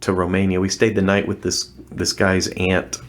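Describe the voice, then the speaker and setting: A man's voice: sits low, monotone, normal speed. A middle-aged man, a guest on an interview podcast, earnestly recounting his experiences in a casual, conversational way with frequent restarts. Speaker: interview guest, former missionary.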